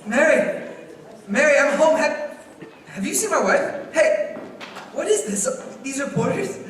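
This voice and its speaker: A male performer playing an overjoyed, excitable and affectionate family man in a school stage radio play. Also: very high voice, unhurried, a little hiss or hum, heavily acted. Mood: giddy joy. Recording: distant echoey theater stage, music behind.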